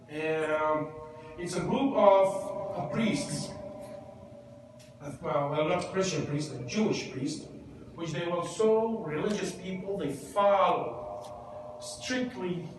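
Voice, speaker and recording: High-voiced man preaching in echoey church. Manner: conversational, asks the room questions. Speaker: pastor. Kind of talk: interactive Bible teaching. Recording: echoey church hall, distant mic, noisy.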